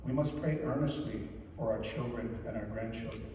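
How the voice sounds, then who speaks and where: An older man giving a weekday homily at a lectern, earnest and measured, stressing key words slowly. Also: medium pitch, dark tone, slight background noise. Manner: measured, earnest, slow deliberate emphasis. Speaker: deacon or priest giving a homily. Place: large church sanctuary. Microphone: lectern mic, filmed from the back pews. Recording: echoey church from far off.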